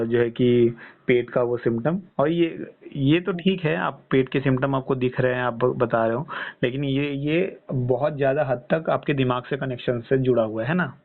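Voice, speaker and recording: A man giving reassuring health guidance as a coach over a phone call, explaining step by step and often checking with short tag questions. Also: medium pitch. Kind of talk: phone consultation, explaining and advising. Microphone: phone line. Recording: tinny band-limited phone call.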